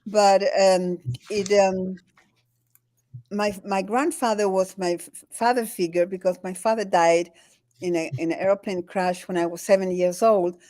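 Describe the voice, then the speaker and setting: An older woman from Chile speaks English with a Chilean Spanish accent, her native tongue being Spanish. She talks haltingly and laughs often, restarting her sentences. Her voice sits in a middle pitch and sounds close and dry. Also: a little mellow, dry recording. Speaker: guest, spiritual teacher. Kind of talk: conversational interview. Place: home in the Andes.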